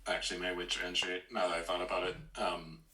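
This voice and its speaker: A man co-hosting a casual discussion, explaining his opinion in a measured way. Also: low voice, completely flat delivery, pushed and bright. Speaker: podcast co-host. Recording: roomy echo.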